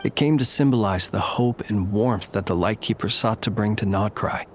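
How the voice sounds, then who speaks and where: An actor playing a solemn, courteous graveyard lightkeeper in a video game, speaking in a formal, measured, old-fashioned way. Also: male voice, lowish voice, slight background noise, close to an everyday voice. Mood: solemn, thoughtful. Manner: formal, measured, archaic diction.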